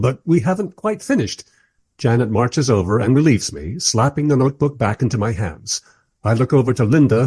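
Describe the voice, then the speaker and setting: A man plays a sardonic writer who narrates and interviews in a radio play. His mid-pitched voice is husky and very inflected, with a dry, measured, irreverent delivery. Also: darkish tone. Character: wry, irreverent male writer narrating, politely probing interviewer.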